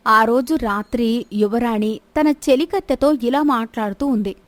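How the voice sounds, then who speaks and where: A woman's voice: on the high side, fairly even intonation, a little slow, no echo. A woman reading scripted narration for a dramatized folk story.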